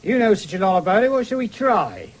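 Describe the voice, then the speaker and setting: An actor playing a gruff, sceptical farmer and father with a rural English accent in a British radio drama, blunt, grudging and sarcastic. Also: sits high, huge pitch swings, fairly quick, full character voice. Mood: sullen sarcasm.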